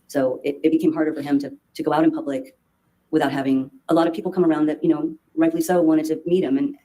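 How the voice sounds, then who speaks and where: A woman testifying as a witness in court, speaking haltingly with many restarts and fillers. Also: low voice, fairly even intonation, mellow, a little hiss or hum, rough recording. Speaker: trial witness.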